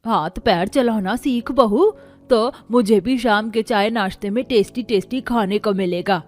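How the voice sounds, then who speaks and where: A woman's voice: on the high side, a little slow. A woman voicing a bossy, nagging older mother-in-law in a cartoon family story. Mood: nagging, scornful. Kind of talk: Hindi moral-story cartoon dialogue.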